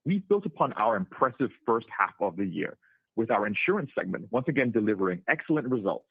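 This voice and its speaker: A man's voice: on the low side, husky, no room sound, narrow, tinny audio. A man delivering prepared corporate remarks as a chief executive over a conference call line.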